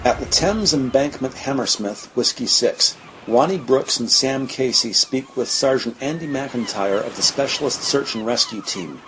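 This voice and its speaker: A man's voice: medium pitch, expressive, moderate pace, hardly any pauses, fairly bright tone, slight background noise, natural voice, poor audio. A man playing a formal scene-setting narrator, reading scripted narration for a police audio drama.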